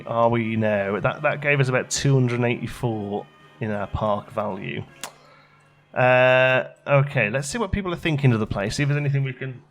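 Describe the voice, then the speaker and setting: A man's voice: medium pitch, fairly bright tone. A man giving casual let's play commentary, chatty and thinking aloud as he plays. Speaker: gaming channel host.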